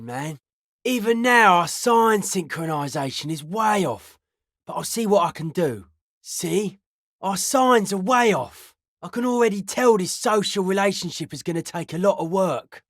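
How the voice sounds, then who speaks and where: An earnest young hardcore raver who talks in slangy, cryptic pronouncements as a self-styled psychic. The delivery is matter-of-fact game dialogue at a middling pitch, recorded close and dry. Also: female voice, dry recording. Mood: cool, appraising. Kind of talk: video game character dialogue.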